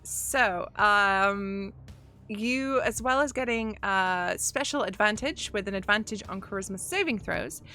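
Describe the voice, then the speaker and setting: Woman game master, bright clear voice, upbeat, quick to laugh, reads rules aloud, faint music behind. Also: mid-range voice. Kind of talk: running a tabletop game over a stream.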